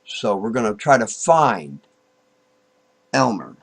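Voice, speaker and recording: A man with a fairly low voice walks through software in an informal, unscripted way. His delivery is very slow and halting. He thinks aloud and repeats himself as he works through each step. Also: sing-song, gravelly, husky. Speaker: software tutorial presenter. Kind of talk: informal screen-recorded tutorial, unscripted walk-through.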